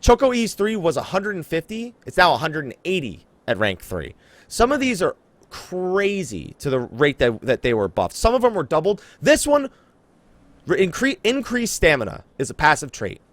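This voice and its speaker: Male podcast host, higher-pitched and very lively in intonation, chatting casually on a close, dry recording. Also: moderate pace, no room sound. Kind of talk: casual game podcast chat.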